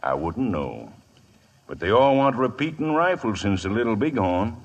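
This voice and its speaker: Sly husky gun trader. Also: male voice, on the low side, very animated, normal speed, lo-fi sound. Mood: sly, insinuating. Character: sly, greedy gun trader, smooth-talking and cool. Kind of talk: 1950s radio western acting.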